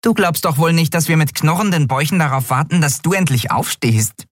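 A man's voice: fairly high pitch, lively intonation, a little fast, no room sound, clean studio sound, pitched above the performer's own voice. A man playing an eager pale young crewmate. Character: pale young crew member, eager and friendly.

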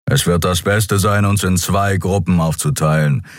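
A man voicing a maned beast-man at the helm, speaking in a low, grumbling, calm way. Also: moderate pace, dry recording, clean studio sound, natural voice. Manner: gruff, grumbling.

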